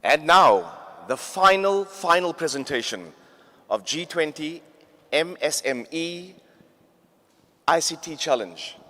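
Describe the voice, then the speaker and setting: A man hosting a pitch competition, introducing presenters with enthusiastic praise. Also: expressive, takes it slowly, pauses often, bright, forceful tone. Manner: enthusiastic, effusive. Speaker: event host. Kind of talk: emcee announcements. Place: large hall. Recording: long hall reverb.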